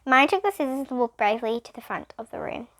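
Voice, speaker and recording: A woman's voice: on the high side, animated, fast, a bit bright. A female reader narrating a children's storybook aloud, with occasional explanatory asides.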